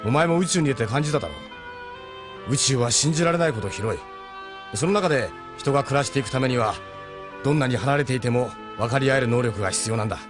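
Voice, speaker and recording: A man playing a gruff, steadfast ship captain and mentor in a Japanese audio drama, speaking bluntly and urgently. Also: medium pitch, animated, quick pace, music in the background, close to an everyday voice. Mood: earnest, encouraging.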